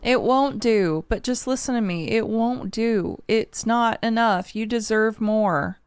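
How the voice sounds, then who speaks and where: A woman with a slight cold talks through her reaction to an album, emotional and candid, with the odd swear word. Her voice is smooth and clear despite the sniffles. It is recorded close and dry, with no room sound. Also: a little high, a little slow, no room sound, soft background music. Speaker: music reaction channel host. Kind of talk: casual album reaction and review.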